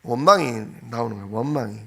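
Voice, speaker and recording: A man preaching in a conversational style, telling a personal story informally, slightly hoarse from a cold. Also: mid-range voice, expressive, fast talker, pushed and bright. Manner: anecdotal, informal storytelling with frequent fillers. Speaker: pastor. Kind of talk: conversational sermon. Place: church sanctuary.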